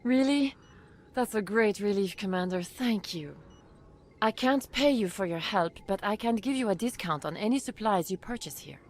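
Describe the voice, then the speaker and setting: An actress playing a grateful, soft-mannered doctor in a video game, polite and helpful. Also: female voice, medium pitch, lively intonation, moderate pace, lightly acted. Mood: relieved, grateful. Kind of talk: video game dialogue acting.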